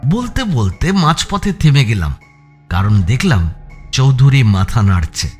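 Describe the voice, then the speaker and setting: A man narrating a suspense audio story as its protagonist, in a measured, dramatic storytelling style. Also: medium pitch, very animated, darkish tone. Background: background music.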